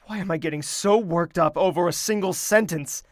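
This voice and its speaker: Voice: medium pitch, normal speed, dry recording, studio quality, natural voice. A performer voicing a troubled young royal in an anxious, self-doubting inner monologue. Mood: conflicted. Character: troubled royal young woman torn between trust and doubt. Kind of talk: audio drama inner monologue.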